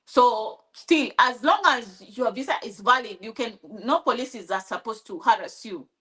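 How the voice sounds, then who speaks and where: A woman from Kenya with a Kenyan accent and Swahili as her first language, telling her story as an interview guest, halting with many restarts and acting out quoted dialogue. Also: fairly high pitch, bright, forceful tone, low-quality recording. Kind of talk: personal storytelling in conversation.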